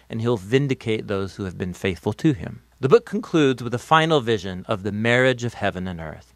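An American man giving a scripted educational narration in a measured, explanatory way, as the narrator of an explainer video. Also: mid-range voice, dry recording. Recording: dry studio voice-over.

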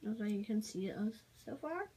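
A child giving a casual, halting show-and-tell narration while filming on a handheld phone in a room at home. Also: mid-range voice, animated. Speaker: amateur toy video maker. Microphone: handheld phone behind the camera. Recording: noisy handheld home recording with clicks.

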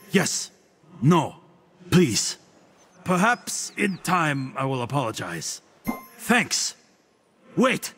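A man's voice: sits high, a bit bright, exaggerated voice. A man voicing a haughty, smugly witty god sage in a video game, with a pompous, theatrical delivery. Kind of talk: video game character voice lines.

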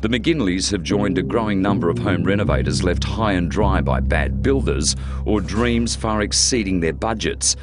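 Male TV reporter, Australian accent, low voice, scripted news narration, loud music bed. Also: expressive, normal speed.

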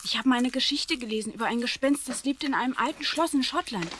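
A woman's voice: sits high, fast talker, a bit bright. A woman playing an imaginative, chatty young schoolgirl in a children's radio play, with faint hiss behind. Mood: amused, chatty.

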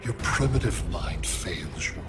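Game villain: superior alien machine mind, low, analytical, imperious. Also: male voice, expressive, a little slow. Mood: cold curiosity. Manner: detached, imperious, precise. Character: arrogant, analytical male alien android collector. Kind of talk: video game dramatic acting. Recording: loud music under the dialogue.

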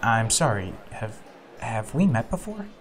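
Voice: mid-range voice, big pitch swings, a little slow. Someone playing a nervous, stammering young man. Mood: awkward. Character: friendly, awkward young man.

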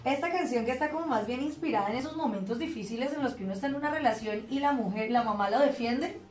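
A Colombian-accented woman hosts a radio interview, chatting along and leading with questions. Her voice is on the high side. The small studio adds an echo. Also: quick pace, darkish tone. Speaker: radio host. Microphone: headphones and boom mic. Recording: echoey studio room.

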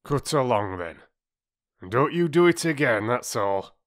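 A man doing a growling gruff bargeman. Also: medium pitch, big pitch swings, unhurried, fairly bright tone, dry recording, clean studio sound, voice pushed up, heavily acted. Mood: gruffly gentler. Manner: growling, cross, rough working-class speech. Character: gruff burly middle-aged male canal bargeman. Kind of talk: audiobook character voice.

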